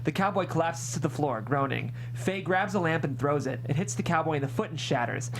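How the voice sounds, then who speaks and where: A woman narrates stage directions at a script reading. Her delivery is expressive storytelling. A noticeable hum and noise run behind the voice. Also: low-pitched, brisk.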